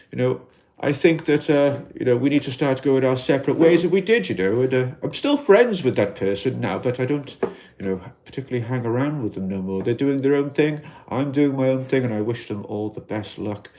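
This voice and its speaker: A Welsh man hosting a casual livestream in an alien costume, talking gently and reflectively and reading out viewer comments, with lots of "you know" fillers. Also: medium pitch. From Wales.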